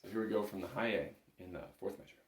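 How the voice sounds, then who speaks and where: Low-voiced man teaching in an echoey room. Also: quick pace, very noisy. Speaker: bagpipe instructor. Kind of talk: step-by-step music lesson. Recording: roomy, echoing room sound.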